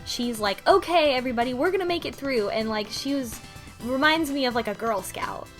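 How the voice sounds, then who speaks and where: A woman's voice: high voice, animated, fairly quick, soft background music. A woman hosting a book club podcast, casually recapping and discussing a story in a conversational way.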